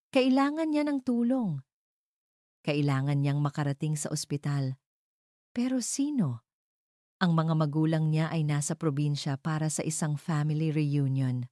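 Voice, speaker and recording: Female storyteller for scripted audio drama. Deep, mellow delivery, very dry sound. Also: no room sound.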